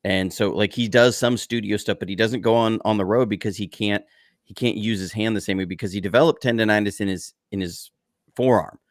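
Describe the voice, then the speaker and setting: A man's voice: on the low side, fairly even intonation, fairly quick, dry recording, clean studio sound. A man about to turn forty, co-hosting a podcast and chatting casually in a rambling, reflective way with many restarts. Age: 30s. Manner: rambling, self-correcting, reflective. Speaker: podcast co-host. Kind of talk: casual chat.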